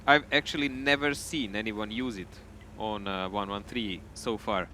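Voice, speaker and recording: Bright-voiced male esports caster. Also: on the low side, steady tone, moderate pace, a little hiss or hum. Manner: chatty, loose phrasing with restarts. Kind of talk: live esports commentary.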